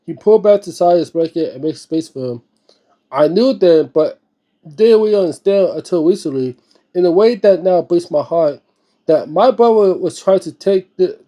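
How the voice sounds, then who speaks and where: A man's voice: high-pitched, mellow. A man reading a book aloud in an informal way, stumbling over words here and there and adding casual remarks of his own. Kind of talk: read-aloud narration with casual, off-the-cuff reactions.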